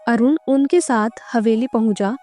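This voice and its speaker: A woman's voice: fairly high pitch, a little slow, mellow. A woman reading scripted narration for a moral story as its narrator. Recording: clear background music under narration.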